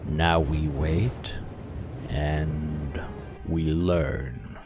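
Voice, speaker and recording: A man's voice: a bass voice, fairly even intonation, drawn-out words, music in the background, exaggerated voice. A man playing a cold, imperious supervillain mastermind in a comic dub, with a commanding, theatrical manner. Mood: cold, scheming.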